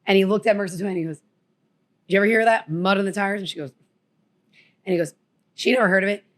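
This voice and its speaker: Woman, New Jersey accent, podcast co-host, casual chat, animated storytelling. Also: mid-range voice, fast. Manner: chatty, acts out quotes.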